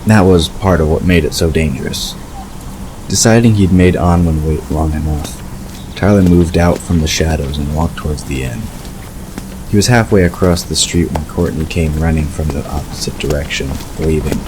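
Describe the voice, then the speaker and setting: A man narrating a scripted story in a measured storytelling delivery. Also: extremely low pitch. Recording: clear background ambience noise.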